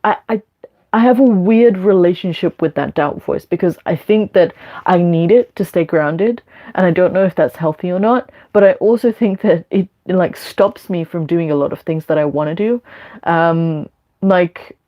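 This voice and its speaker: A woman's voice: lowish voice, normal speed, muffled recording. A young woman live streaming, talking candidly and rambling with many fillers and restarts.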